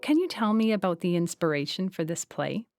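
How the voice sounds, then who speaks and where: A woman's voice: fairly low pitch, sing-song, brisk, a little mellow. Woman hosting a podcast over music. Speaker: podcast host. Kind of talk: scripted podcast introduction and interview.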